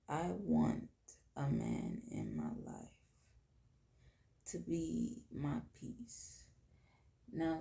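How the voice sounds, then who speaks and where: Female late-night host, Southern US accent, hoarse and fry-heavy, expressive swings in pitch, intimate solo delivery. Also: roomy sound. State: hoarse, tired voice. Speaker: podcast host.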